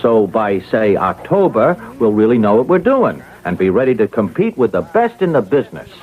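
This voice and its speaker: An actor playing an evasive, impatient small-time crook and husband in a radio mystery, talking in stammering, brusque, colloquial bursts. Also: male voice, lowish voice, sing-song, moderate pace, faint music behind. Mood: evasive, placating. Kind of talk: radio mystery drama acting. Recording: tinny, band-limited old radio recording.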